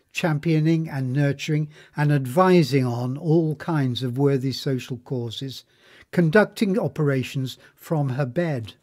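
A man reading a scripted biographical narration in a measured, formal manner. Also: on the high side, darkish tone.

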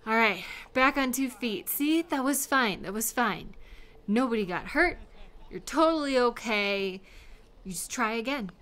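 Bright-voiced, nervous young woman, acted by a woman. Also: a little high, lively intonation. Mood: anxious, self-coaxing. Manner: halting, self-talking, giggly and apologetic. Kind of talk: intimate audio roleplay acting.

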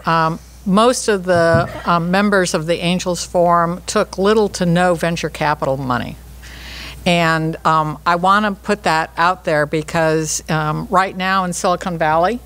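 Older woman with a low voice on a panel, stand mic, lots of ums. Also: a bit bright. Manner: measured, frequent ums. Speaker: panelist, angel investor. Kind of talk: conference panel talk. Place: conference stage panel.

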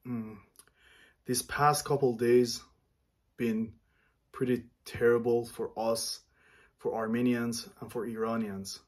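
A man in his thirties from Iran, speaking earnestly and haltingly to camera in a small home room, often stopping to search for words. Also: mid-range voice, steady tone. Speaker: vlogger.

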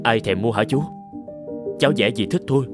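A man voices an earnest, lovelorn young painter in a cartoon. He is gentle, polite and expressive, at a middling male pitch. Music plays clearly behind him. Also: a little fast, close to an everyday voice. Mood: modest. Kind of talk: dubbed cartoon dialogue.

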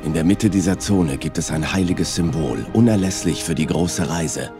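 A man's voice: low-pitched, moderate pace, music in the background. A man voicing a stoic, dutiful alien warrior in a video game, grave and resolute. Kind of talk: cinematic video game acting.

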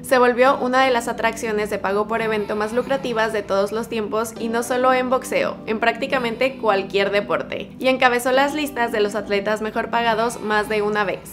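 A woman's voice: fairly high pitch, steady tone, normal speed, hardly any pauses, music in the background. A young woman, hosting a scripted celebrity video in a chatty, upbeat way and addressing viewers directly. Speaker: host. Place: studio with plain backdrop, voice over music.

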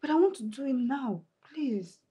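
An actress playing a needy lover with a husky voice who begs her partner for attention. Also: sits high, animated, normal speed, lo-fi sound, heavily acted. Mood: pleading. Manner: pleading, whiny. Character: needy lover, begging for affection.

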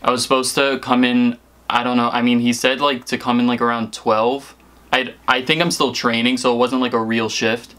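Bright-voiced young American man, rambling casually. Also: mid-range voice, level tone, normal speed. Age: young adult. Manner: hesitant, rambling, heavy use of "like". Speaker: prank-call vlogger. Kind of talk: casual chat to camera and on phone calls.

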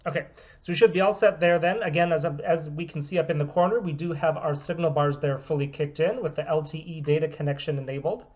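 A man's voice: fairly high pitch, quick pace, darkish tone. A man in his thirties from the US, steadily explaining a phone unlock demo in a small room at home. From United States. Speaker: phone repair business owner. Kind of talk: product demonstration. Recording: dull, lo-fi camera audio.

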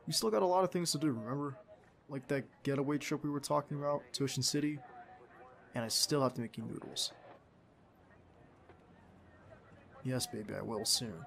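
A man playing a tender, protective young secret agent boyfriend in an ASMR roleplay, speaking in a soft, soothing, intimate way. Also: medium pitch, creaky. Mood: tender, encouraging. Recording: faint background ambience noise.

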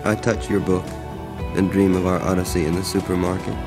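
A man reciting a poem as a scripted voice-over, in a measured, contemplative manner. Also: low-pitched, level tone. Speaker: poem narrator. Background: music.

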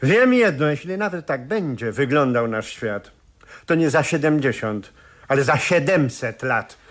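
An actor playing an indignant, authoritative official who interrogates with curt sarcasm in a 1970s radio play. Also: male voice, mid-range voice, animated, unhurried, fairly bright tone. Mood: scornful. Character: scornful, indignant male interrogating official, loyal to emperor.

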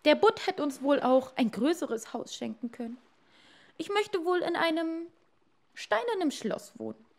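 A greedy, never-satisfied fisherman's wife from a storybook, acted by a woman reader, pitched high with big swoops. She is bossy and insistent, always demanding more. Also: animated, a little slow. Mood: wheedling, demanding.

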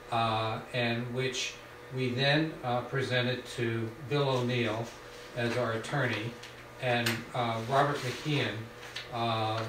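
A man at a board meeting table, giving a halting report with many fillers, recorded from a distance in a small studio room. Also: medium pitch. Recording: roomy, distant, faint hiss.

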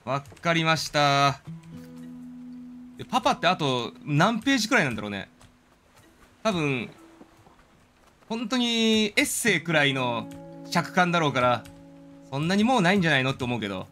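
A man's voice: on the high side, big pitch swings, moderate pace, pauses often, pushed and bright, faint music behind. A man giving casual live commentary as he streams a game, talking to himself and his viewers. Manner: reads game dialogue aloud in character.

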